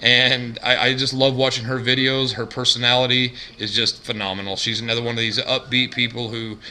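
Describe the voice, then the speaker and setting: Monotone man with frequent uhs. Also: a little fast. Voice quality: bassy. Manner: monotone, droning delivery with many fillers. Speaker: couponing YouTuber. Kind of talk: casual vlog shout-outs.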